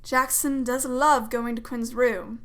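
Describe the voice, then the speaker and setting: A woman's voice: fairly high pitch, fairly even intonation, slow. A woman acting a friendly, teasing young woman who looks after children in an amateur audio drama. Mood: curious, teasing.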